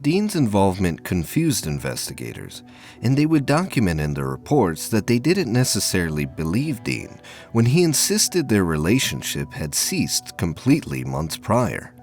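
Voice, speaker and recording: A man giving a measured, formal scripted narration for a sci-fi audio drama. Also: lowish voice, wildly swooping pitch, a little mellow, soft background music.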